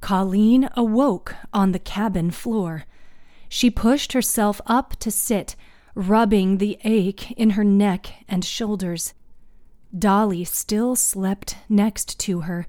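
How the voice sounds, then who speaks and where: A woman's voice: mid-range voice, steady tone, takes it slowly, a little mellow, dry recording, clean studio sound. A woman reading scripted fiction as the narrator of a story.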